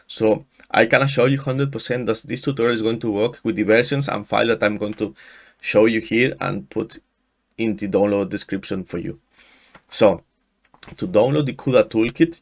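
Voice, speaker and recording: A man in his thirties from Mexico, a native Spanish speaker with a Mexican accent, walking through a software install in a screen-share tutorial, often restarting his sentences. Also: lowish voice, gravelly. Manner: halting, frequent restarts and fillers. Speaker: tutorial host. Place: small room indoors. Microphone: headset.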